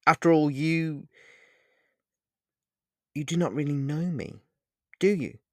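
A man's voice: on the high side, fairly even intonation, fairly bright tone, no room sound, voice pushed up. A man performs an intense, charming male lover from a romance story. He chooses formal words and halts to repeat them with feeling. The sound is dry, with no room on it. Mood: earnest, pleading. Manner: halting, repeats phrases, formal wording. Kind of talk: dramatised romance audiobook dialogue.

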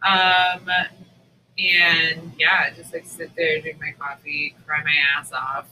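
A woman with a very bright, sharp voice talks in a casual, confessional style. She is frank and self-mocking, swears freely, and sounds like a podcast co-host. There is faint noise behind her. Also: low voice, flat delivery, takes it slowly, rough recording. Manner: candid, self-deprecating, profane.

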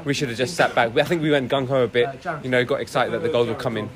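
Male amateur player, mid-pitched voice, casual post-match football chat. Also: steady tone, racing, crowd noise.

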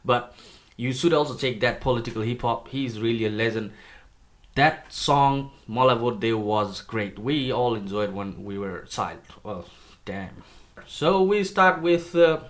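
A man's voice: medium pitch, measured pace, a bit bright. A man from Nepal, a native Nepali speaker, hosting a casual video review of rap songs, chatty and enthusiastic while joking about his put-on accent. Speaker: music reviewer.

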